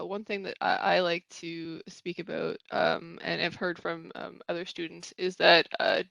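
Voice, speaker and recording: A woman's voice: fairly low pitch, creaky, pushed and bright, dry recording, poor audio. A woman speaking as a panelist, hesitant, with many fillers. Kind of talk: casual panel talk.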